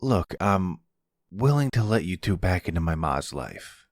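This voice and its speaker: A man playing a protective, bitter adult son who is gruff but loving, in a roleplay audio drama. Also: low-pitched, very animated, unhurried, no room sound, studio quality, exaggerated voice. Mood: protective, firm.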